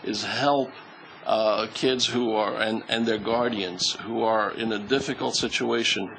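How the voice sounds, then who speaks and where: A man's voice: lowish voice, fairly even intonation, unhurried, gravelly, a bit bright, slight background noise, rough recording. A man on a charity panel, answering audience questions in a hesitant way with many fillers.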